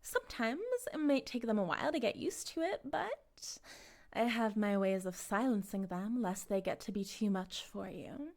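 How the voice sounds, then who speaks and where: A woman playing a possessive, self-righteous cult leader in an intimate audio roleplay, cooing and condescending, sometimes breathy, speaking directly to the listener. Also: mid-range voice, lively intonation, normal speed, close to an everyday voice. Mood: sweetly menacing. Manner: theatrical, cooing, condescending, drifting into breathy whispers.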